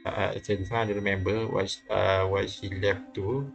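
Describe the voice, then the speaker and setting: A man with a fairly low, very monotone voice reads a visual novel aloud as a streamer. He is halting, repeats phrases and comments casually, with faint game music behind him. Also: creaky, dull audio.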